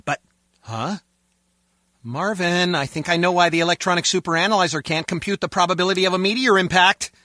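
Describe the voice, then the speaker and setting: A man's voice: high-pitched, brisk, bright, forceful tone, no echo. An actor playing a sceptical male technician in a video game, with a dry, sarcastic manner. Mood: wry, irritated.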